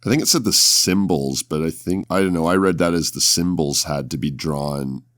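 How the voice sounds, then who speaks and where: A man's voice: very deep voice, normal speed, fairly bright tone, no room sound, studio quality. A man playing a tabletop roleplaying game, casually talking through what his character will do.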